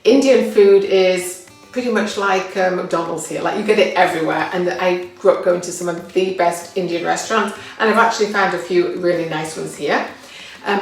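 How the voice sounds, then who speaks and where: English woman, casual upbeat guide, mid pitch, roomy off-mic sound. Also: creaky, far from the mic. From England. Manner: chatty and upbeat, with fillers and restarts. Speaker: local living guide. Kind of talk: casual talk to camera.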